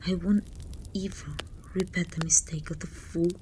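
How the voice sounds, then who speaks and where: A woman playing a proud, commanding evil queen in an intimate ASMR roleplay, speaking softly and often near a whisper in a measured, regal way. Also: fairly low pitch, fairly even intonation, slight background noise, close to an everyday voice, rough recording. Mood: cold, resolute. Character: haughty evil queen, proud sorceress ruler, confiding and commanding. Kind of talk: ASMR roleplay acting, intimate monologue to a servant.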